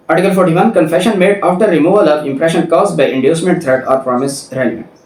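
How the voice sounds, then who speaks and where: Echoey, higher-pitched Pakistani man lecturing. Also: darkish tone. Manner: didactic, repeats points for emphasis. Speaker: law lecturer. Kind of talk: explanatory lecture, alternating reading aloud and explaining. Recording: echoey room, distant mic.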